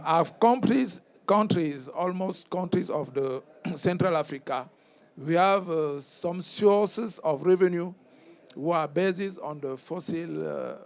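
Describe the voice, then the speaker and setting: A man from Cameroon, a French speaker with a Cameroonian French accent, giving halting remarks as a member of parliament on a conference panel, full of fillers and restarts. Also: a little high.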